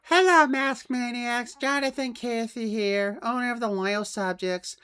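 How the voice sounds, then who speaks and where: A man's voice: extremely high pitch, measured pace, sharp tone, clean tone, pitched above the performer's own voice, heavily acted. A man voicing a pompous, self-satisfied toy company boss in a mock dramatic reading of a letter. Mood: boastful, self-satisfied. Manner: pompous, salesman-like.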